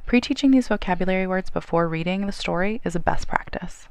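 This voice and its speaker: A woman reading scripted instructional narration in a clear, explanatory way. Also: lowish voice, fast talker. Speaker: narrator of a training video.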